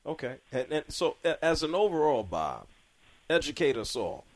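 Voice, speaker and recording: A male sports talk host keeps prodding an older caller with follow-up questions. His pitch rises and falls a lot as he talks. The sound is dry and close, straight from a radio studio. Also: big pitch swings, normal speed, no echo. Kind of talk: radio call-in chat.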